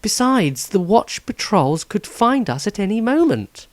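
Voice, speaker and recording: Guy doing an elf lady, all earnest questions, high-ish voice. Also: big pitch swings, a little slow, dry recording. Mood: doubtful.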